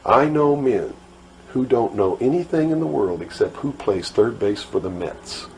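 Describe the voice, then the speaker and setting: A middle-aged American man giving an impassioned lecture, emphatic and full of rhetorical questions and deliberate repetition. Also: mid-range voice, lively intonation, creaky, darkish tone. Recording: noisy old talk recording.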